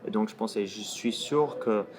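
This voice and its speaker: Portuguese guy, lowish voice, chatting in french he's still learning, keeps hunting for words. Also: normal speed, a crowd in the background. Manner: searching for words, frequent restarts. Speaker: guest, language youtuber. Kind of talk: casual conversation in a learned language. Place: outdoors in a city.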